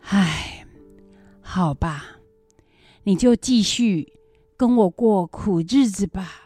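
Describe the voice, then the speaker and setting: A woman's voice: mid-range voice, slow pace, warm tone, husky, no room sound, soft background music. A woman acting a caring, self-sacrificing old grandmother in a radio drama, with a Taiwanese Mandarin accent. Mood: resigned.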